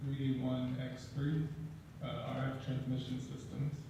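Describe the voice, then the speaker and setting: A man who is an air force technical sergeant, speaking slowly and evenly in a recorded interview. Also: mid-range voice, little pitch movement, creaky, warm tone, a little hiss or hum. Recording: echoey, distant, dull.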